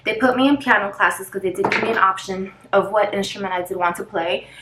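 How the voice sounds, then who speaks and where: A woman's voice: mid-range voice, brisk, roomy sound, noisy background. A woman in her thirties whose first language is Spanish, chatting casually in a get-ready-with-me vlog while she does her makeup, rambling and losing her place now and then. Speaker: vlogger.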